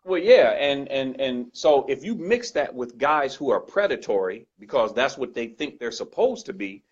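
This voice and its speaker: Male guest, loud and emphatic, raspy edge, muffled lo-fi sound. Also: medium pitch, big pitch swings, moderate pace. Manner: earnest, emphatic, repeats points. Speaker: guest speaker. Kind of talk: conversational discussion. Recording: dull lo-fi recording.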